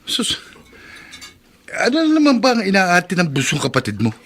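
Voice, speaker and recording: A man's voice: high-pitched, huge pitch swings, moderate pace, exaggerated voice. A man playing an embittered, hot-tempered father of two who scolds and complains in a Filipino radio drama. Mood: stern, suspicious. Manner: scolding, loud, aggrieved.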